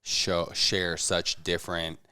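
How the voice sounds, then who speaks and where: A man's voice: sits low, level tone, takes it slowly, sharp tone, clear, resonant tone, no echo. A man co-hosting a podcast in a casual, reflective, conversational style. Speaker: podcast co-host.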